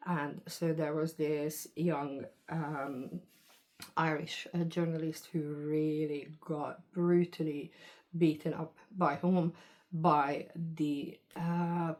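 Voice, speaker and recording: A woman speaks to camera in a deep, low voice. She talks slowly and meanders, pausing and hedging her points. It sounds like an unscripted personal vlog. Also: darkish tone. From Hungary. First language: Hungarian. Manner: rambling, hesitant, hedging. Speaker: vlogger. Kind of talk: casual monologue to camera.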